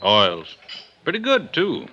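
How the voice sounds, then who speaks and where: Forceful, very bright-voiced gruff police inspector. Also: male voice, fairly high pitch, huge pitch swings, slow, exaggerated voice. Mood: offhand, gruff. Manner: blunt, folksy, offhand. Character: gruff, world-weary male police inspector. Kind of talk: 1950s radio detective drama acting. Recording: dull, noisy vintage 1950s radio recording.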